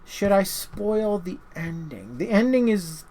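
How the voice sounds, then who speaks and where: A man's voice: sits high, sing-song, takes it slowly, warm tone. A man hosting a casual, unscripted review, rambling and often stammering and interrupting himself as he talks. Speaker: host of a horror movie review channel.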